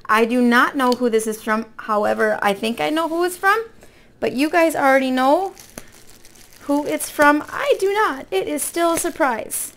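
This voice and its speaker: Hoarse, creaky-voiced woman chatting. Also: high voice, animated, moderate pace, fairly bright tone, a little hiss or hum. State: hoarse from illness with a cough. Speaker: craft vlog host. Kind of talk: casual chatty unboxing. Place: indoor room at a table.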